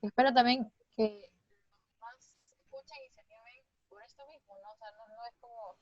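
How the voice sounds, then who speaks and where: A woman's voice: a little high, big pitch swings, moderate pace, no echo. A woman with a Peruvian accent co-hosting a casual interview, chatting in an informal, enthusiastic way. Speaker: interview co-host. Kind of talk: casual chat. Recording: dull, dry online call audio.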